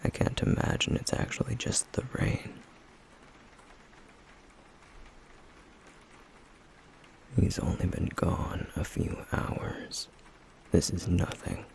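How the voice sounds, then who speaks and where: Gravelly, low-murmuring stoic demon acted by a man. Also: slight background noise, full character voice. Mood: dry, curious. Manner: deadpan, unhurried, terse. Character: stoic, guarded male flame demon, dryly caring. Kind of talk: intimate ASMR roleplay acting.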